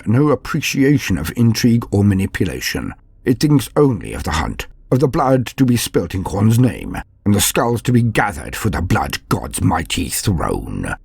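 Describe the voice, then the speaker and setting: A low-voiced man narrates fantasy lore with theatrical weight and formal diction. His voice is gravelly and swings widely in pitch, giving the scripted delivery a portentous, dramatic shape. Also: a little mellow, no room sound. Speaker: host of a fantasy lore channel.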